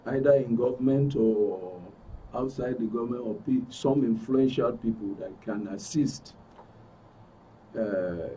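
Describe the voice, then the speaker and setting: A man with a Nigerian accent speaking as a talk show guest with deliberate, hesitant phrasing. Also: medium pitch, dark tone. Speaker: studio guest. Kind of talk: interview commentary. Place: radio and TV studio. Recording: dull distant mic, background noise.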